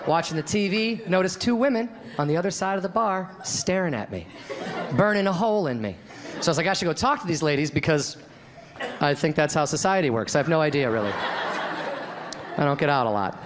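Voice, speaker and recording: A man in his thirties doing a deadpan stand-up routine on a comedy club stage, acting out the voices of the people in his stories, into a handheld mic. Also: fairly high pitch, a little fast, noisy background. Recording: live club recording with crowd laughter. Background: audience laughter.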